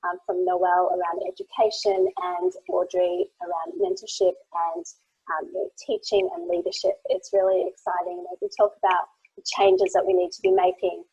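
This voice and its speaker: A woman's voice: lowish voice, normal speed, dark tone, slightly rough, dry recording, highs cut off. A woman moderating a webinar panel on a video call, enthusiastic and full of praise, with many fillers.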